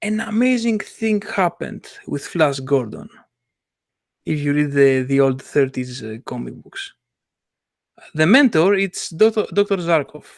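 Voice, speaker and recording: An eager man with chats about stories in a fairly high voice. He stumbles and restarts often as his ideas race ahead. The recording is dry and close. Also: animated, creaky, no room sound. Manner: enthusiastic, halting, many restarts and laughs. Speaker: comic artist. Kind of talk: casual podcast chat.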